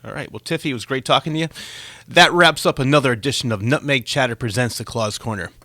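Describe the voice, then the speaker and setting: A man's voice: mid-range voice, quick pace, bright, forceful tone, dry recording. A man hosting a conversational radio-style interview show, talking with a guest.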